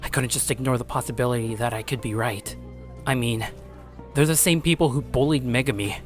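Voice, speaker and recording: A man plays an earnest teenage schoolboy telling his own story in an anime-style audio drama. His pitch is middling and his delivery is conversational and introspective, with an anxious streak. Music plays clearly behind him. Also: brisk, close to an everyday voice. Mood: suspicious. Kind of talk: anime-style audio story, first-person scripted narration.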